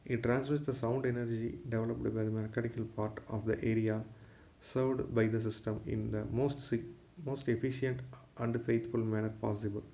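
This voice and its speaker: A man's voice: mid-range voice, little pitch movement, moderate pace, gravelly, dark tone. A man giving a formal, scripted lecture, read aloud in a textbook-like way.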